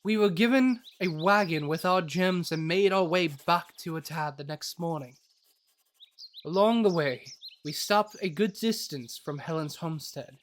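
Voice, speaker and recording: A man's voice: a little high, measured pace, a little hiss or hum, natural voice. A man playing a jovial, teasing adventurer who also narrates in a fantasy audio roleplay. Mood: calm narration. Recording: music behind the voice.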